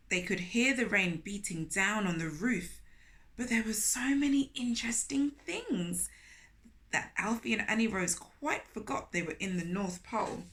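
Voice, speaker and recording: A woman reading a picture book aloud to young children, animated and speaking to them directly with questions. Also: fairly high pitch. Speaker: children's storyteller, host of a storytime series.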